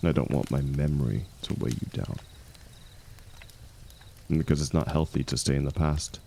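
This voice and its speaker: Man acting a very deep, gravelly aging warrior. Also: steady tone, a little hiss or hum. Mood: resigned, earnest. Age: older. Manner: soft, halting, confiding, reassuring. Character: aging wounded warrior, hero's loyal shield, gentle and resigned. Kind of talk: intimate second-person audio roleplay.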